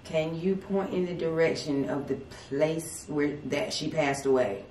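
A woman with a drawl and a slightly low voice asks a spirit questions slowly and politely. She is heard from across a dim, echoey salon, picked up by a distant camera, with faint background noise. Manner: slow, calm, polite questioning. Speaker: paranormal investigator. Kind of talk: spirit communication session. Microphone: far camera. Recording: echoey room from far off.

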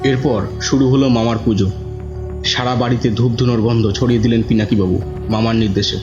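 A man's voice: medium pitch, fairly even intonation, brisk. Male horror storyteller over faint music. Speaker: narrator. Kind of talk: scripted horror story narration, in first person. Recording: dull audio with music bed.

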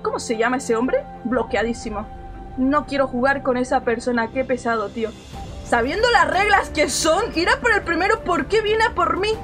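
High-pitched young woman streamer, Mexican-tinged Spanish accent. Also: soft background music. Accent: Spanish with Mexican inflections. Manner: animated, repeats phrases rapidly, complaining slangily. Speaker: game streamer. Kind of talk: casual live gameplay commentary.

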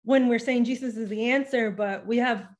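A woman's voice: fairly high pitch, moderate pace. A young woman speaking as a podcast guest over a video call, talking things through thoughtfully with frequent restarts, into a studio microphone at home. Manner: thoughtful, restarts often, animated with hand gestures. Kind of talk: casual interview conversation. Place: home room with bookshelf and patterned wall hanging. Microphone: studio microphone on a boom arm.

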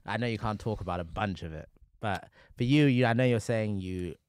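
Young male podcast host from Zimbabwe, lowish voice, lively and laughing, dry studio mic. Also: a little fast, smooth, no echo. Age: young adult. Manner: animated, laughs often, gestures while talking. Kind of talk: casual podcast chat. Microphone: boom mic on arm, headphones.

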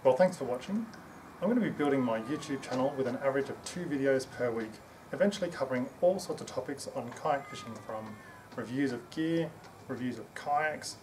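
A middle-aged man hosting a video, explaining gear to camera in a plain-spoken, measured way. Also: mid-range voice. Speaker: host of a kayak fishing video channel. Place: outdoors in a suburban backyard. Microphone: camera mic from a few metres. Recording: distant, echoey, noisy outdoor camera audio.

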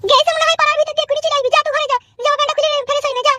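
Very shrill, nagging cartoon village mother , acted. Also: little pitch movement, racing, background noise. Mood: scolding, nosy. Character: nagging, inquisitive village mother of a grown son. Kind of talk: comedy cartoon dialogue. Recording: music under the voice.

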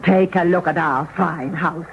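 A woman's voice: fairly low pitch, fairly even intonation, measured pace. Scolding old peasant mother, muffled radio. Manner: scolding, theatrical, exclamatory. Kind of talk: 1940s radio drama acting. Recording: muffled 1940s radio broadcast, faint noise.

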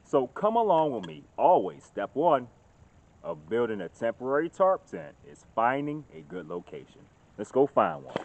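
A man in his thirties talking casually to a handheld phone camera outdoors in the woods, getting loud and excited as he shows off his work. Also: mid-range voice, moderate pace, vocal fry, a little hiss or hum. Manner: chatty, gets loud and excited showing off. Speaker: outdoors vlogger. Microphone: phone camera held at arm's length. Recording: dull lo-fi phone audio outdoors.

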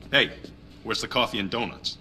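Low, dry-humored crook. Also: male voice, moderate pace, pushed and bright. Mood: amused. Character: dry, low-voiced male heist crew member. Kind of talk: video game cutscene acting. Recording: faint background noise.

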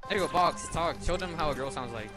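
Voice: mid-range voice, fast. A brash, sweary gamer bantering casually in online game voice chat over music. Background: loud music.

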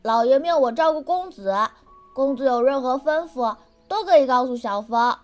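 A woman's voice: sits high, moderate pace, a little mellow, soft background music, natural voice. A woman voicing a young, devoted, chirpy servant in a costume radio drama, earnest and deferential. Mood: bright, teasing.

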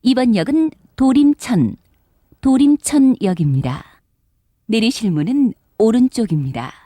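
A woman's voice: a little high, lively intonation, a little slow, warm tone, no echo, studio quality. Melodic, polite female subway announcer. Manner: polite, formal. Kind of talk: scripted transit announcement.

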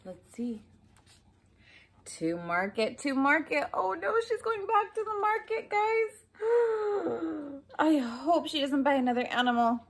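High, animated woman reading to children. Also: takes it slowly, pauses often. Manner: expressive, addressing young listeners with questions and asides. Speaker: storybook reader for children. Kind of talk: children's picture book read-aloud. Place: small furnished room at home, indoors.

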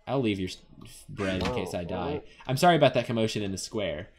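A man giving casual, rambling playthrough commentary as a gaming host, jokey and excitable. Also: on the low side, brisk, a crowd in the background.

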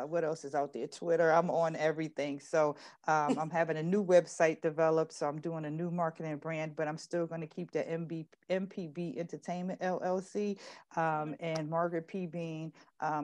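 A woman's voice: low-pitched, a little mellow. A middle-aged woman chatting casually and talkatively as an interview guest on a video call. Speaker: interview guest, playwright promoting her play. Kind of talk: casual chat. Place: indoor room at home, on a video call.

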